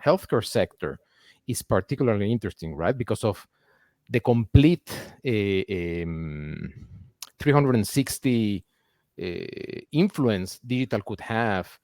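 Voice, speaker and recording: A man's voice: lowish voice, expressive, slow pace, a little mellow, dry recording. Male host, close dry sound. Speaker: podcast host. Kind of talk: conversational interview.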